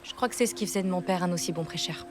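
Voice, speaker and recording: A woman voicing a soft-spoken confiding woman. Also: on the low side, rapid-fire, soft background music, close to an everyday voice. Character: woman raised in a small village abroad, confiding her past. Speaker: character in a dubbed series. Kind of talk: television dub acting.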